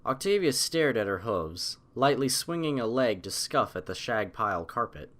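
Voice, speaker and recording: A man narrating a scripted fan story as an audiobook, in an expressive storytelling style. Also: medium pitch, moderate pace.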